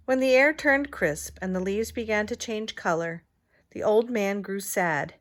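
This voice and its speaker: Lady reading a picture book to little kids, slow and expressive, medium pitch. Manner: deliberate, expressive storytelling. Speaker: teacher reading aloud. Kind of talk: storybook read-aloud for young children.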